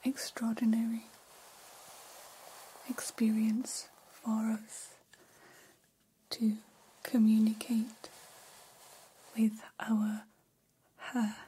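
A woman voicing a gentle, curious female alien in an ASMR roleplay, whispering softly in formal, halting, literal phrases. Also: fairly high pitch, level tone, slight background noise, poor audio. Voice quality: soft, breathy whisper. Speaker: ASMR performer.

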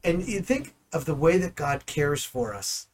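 A man in ministry teaching the Bible in conversation, animated and full of rhetorical questions. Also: fairly high pitch, measured pace, a little hiss or hum. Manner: animated, asks rhetorical questions, acts out dialogue.

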